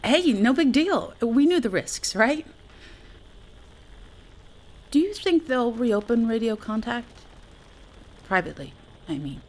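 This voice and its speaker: An actress playing a wry, talkative astronaut facing doom in an audio drama, sardonic and conversational in manner. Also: female voice, on the high side, expressive, takes it slowly, long pauses, darkish tone, a little hiss or hum, poor audio. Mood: brittle bravado. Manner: sardonic, conversational, hesitant asides. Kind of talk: naturalistic audio drama acting.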